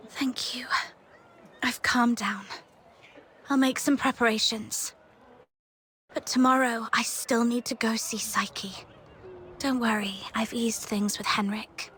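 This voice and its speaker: A woman's voice: on the high side, fairly even intonation, slow, long pauses, fairly bright tone, slight background noise. A woman voicing an earnest, determined and protective young heroine in a video game. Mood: earnest, subdued.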